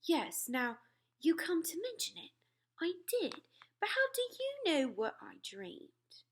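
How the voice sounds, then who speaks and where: A woman voicing an astonished, imperious queen in a storybook read-aloud, moving between hushed murmurs and sharp commands. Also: extremely high pitch, wildly swooping pitch, slow, pitched above the performer's own voice, heavily acted. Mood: regaining composure. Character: queen, poised, imperious, astonished older royal lady.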